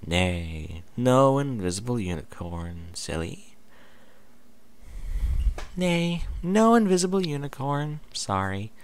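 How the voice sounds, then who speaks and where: A man voicing a merry, excitable young female pony in a read-aloud story, with playful, exclaiming delivery. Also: mid-range voice, takes it slowly, smooth, pitched above the performer's own voice. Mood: merry, excited.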